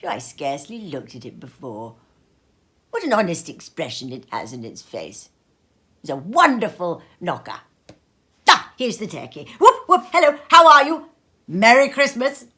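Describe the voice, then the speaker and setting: A woman voicing an elderly male miser, giddy and tearful with delight, giggling in a high voice. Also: sing-song, unhurried, bright, forceful tone, heavily acted.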